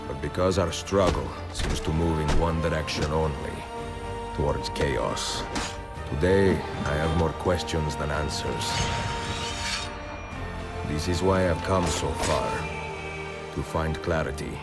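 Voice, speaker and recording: An actor playing a weary, reflective older assassin, narrating solemnly in measured phrases. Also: male voice, a bass voice. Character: weary, reflective aging male master assassin. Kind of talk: reflective letter narration, video game voice acting. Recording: loud music under the voice.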